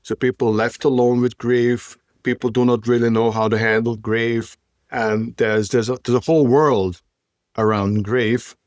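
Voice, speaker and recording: A man's voice: medium pitch, fairly even intonation, unhurried, a little mellow, dry recording, clean studio sound. A man hosting a podcast in casual chat, rambling and stumbling over his words while searching for them. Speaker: podcast host.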